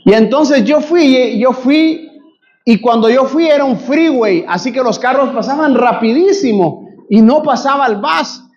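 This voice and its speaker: Guatemalan pastor guy, really high voice, preaching and storytelling, kinda muffled lo-fi recording. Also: measured pace. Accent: Guatemalan Spanish. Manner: animated storyteller addressing congregation as brothers. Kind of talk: sermon with storytelling. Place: church.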